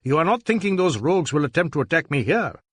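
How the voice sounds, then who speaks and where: A man voicing a pompous, imperious businessman hungry for publicity in an audiobook, repeating himself excitedly. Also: a little high, quick pace, husky, dry recording, pitched above the performer's own voice, heavily acted. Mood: blustering. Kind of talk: audiobook character voice.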